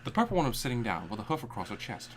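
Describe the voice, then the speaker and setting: A man's voice: medium pitch, sing-song, very fast, slight background noise. A man reading a story aloud live for comedy, with an irreverent manner and amused asides. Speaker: reader of fan fiction.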